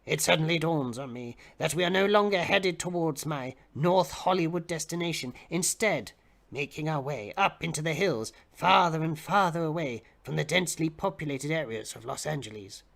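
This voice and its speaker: Male narrator, theatrical, very animated pitch, bright forceful tone, dramatic story reading. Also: normal speed, creaky. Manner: theatrical, expressive. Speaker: dramatic reader. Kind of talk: dramatic narration of comic erotic fiction.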